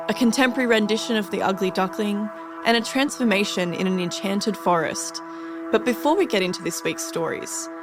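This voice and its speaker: A woman with an Australian accent reading scripted narration as a radio host. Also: medium pitch.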